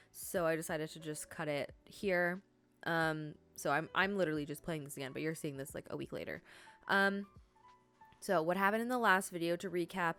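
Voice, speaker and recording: A woman's voice: fairly low pitch, fairly bright tone, clean tone. A woman hosting a game playthrough in a chatty, rambling way, her nose stuffed up from allergies. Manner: chatty and rambling, with many fillers and self-corrections. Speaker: gaming video host. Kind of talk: casual let's-play commentary.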